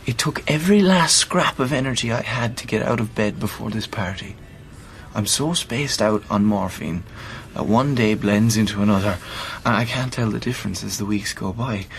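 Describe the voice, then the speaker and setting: A man's voice: lowish voice, normal speed, gravelly. An actor with an Irish accent playing a seriously ill man of thirty, blunt and sardonic, in a naturalistic radio play. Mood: weary, serious. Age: 30s. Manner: dry, blunt, colloquial.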